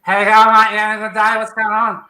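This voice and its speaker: An Australian homesteader on a group video call, telling stories in a lively, mock-exasperated way. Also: male voice, high voice, moderate pace. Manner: animated storyteller, mock-exasperated rants. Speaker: homesteader guest. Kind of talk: casual group video chat. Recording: echoey room with faint noise.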